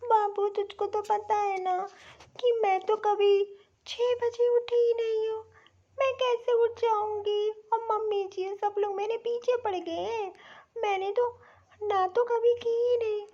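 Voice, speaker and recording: Very high-pitched spoiled young daughter-in-law played by a woman. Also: steady tone, slow, mellow. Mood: whiny pleading. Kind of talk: Hindi family-drama cartoon voice acting. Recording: dull, lo-fi recording.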